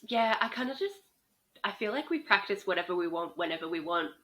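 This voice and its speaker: Bright-voiced joking woman in her thirties. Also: mid-range voice, animated, fairly quick. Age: 30s. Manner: joking, laughs often. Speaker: podcast co-host. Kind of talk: casual chat.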